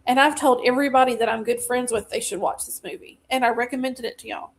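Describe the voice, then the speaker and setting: Gravelly Southern woman. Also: fairly high pitch, fast talker, slight background noise. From Deep South of the US. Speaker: podcast co-host. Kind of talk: casual movie review chat.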